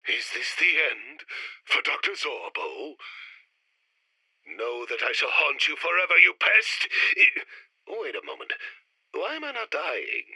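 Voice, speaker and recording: An actor playing a pompous, irritable mad-scientist villain in a retro sci-fi radio play, declaiming grandly and melodramatically. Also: male voice, medium pitch, huge pitch swings, a little slow, vocal fry, pauses often, pushed and bright, breathy edge, dry recording, exaggerated voice, poor audio. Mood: melodramatic, defiant.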